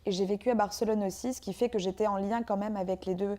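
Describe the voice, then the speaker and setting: A woman from France answers interview questions in a soft, mellow voice, pausing often as she thinks aloud. Her pitch sits in the middle for a woman. She speaks as a guest author and poet in a relaxed, unscripted conversation. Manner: hesitant, frequent filler pauses.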